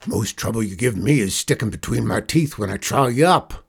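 Man putting on a gruff, growling Western outlaw boss. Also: lowish voice, animated, moderate pace, full character voice. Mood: menacing. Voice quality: growling, rough. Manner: drawling, folksy cowboy slang.

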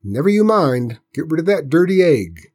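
A man's voice: mid-range voice, expressive, fairly quick, breathy edge. A man voicing a stern, bossy, scolding country aunt with a rural Southern US accent for a story. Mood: bossy, annoyed. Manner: sharp, bossy, loud. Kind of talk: storybook character voice in narration.

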